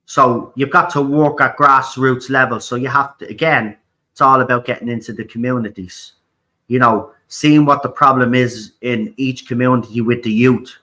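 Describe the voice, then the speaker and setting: A man's voice: mid-range voice, steady tone, normal speed, pushed and bright. An Irish man from Ireland hosting a talk show, speaking bluntly with repeated words, swearing and frequent "right?". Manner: blunt, repetitive, swears, keeps asking "right?". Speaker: host. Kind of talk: opinionated political talk.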